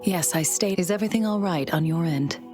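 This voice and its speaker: A woman's voice: fairly low pitch, fairly quick, lightly acted. An actress voicing a dutiful, loyal female guard who reports in with a formal, respectful and reassuring manner. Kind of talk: game cutscene voice acting. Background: game music.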